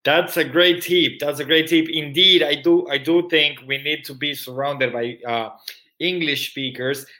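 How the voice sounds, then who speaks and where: A man's voice: on the high side, a little slow, pushed and bright. A man whose native language is Spanish, with a Spanish accent mixed with Irish, hosting an interview in an upbeat, questioning way. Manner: upbeat, repeats praise before asking. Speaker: podcast host. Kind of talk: casual interview.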